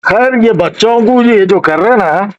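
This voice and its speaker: High-voiced, scornful Hyderabadi man on phone. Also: measured pace. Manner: scornful, emphatic, coarse-tongued. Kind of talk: heated political phone chat. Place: phone call. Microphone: phone line. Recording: muffled recorded phone call.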